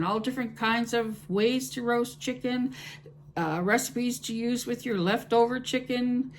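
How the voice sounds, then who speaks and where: A woman's voice: a little high, slight background noise. A middle-aged woman with a Canadian accent, hosting a home cooking channel and chatting to camera in a rambling, friendly way. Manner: rambling, friendly, with fillers and restarts. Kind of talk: chatty vlog talk to camera.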